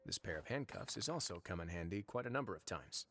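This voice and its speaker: Rich: A low-voiced prison warden who speaks calmly with measured authority and a dry touch of wit. His pitch moves expressively, and soft game music sits underneath. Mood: composed. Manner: calm, measured, lightly wry. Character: composed male prison warden, wry and authoritative.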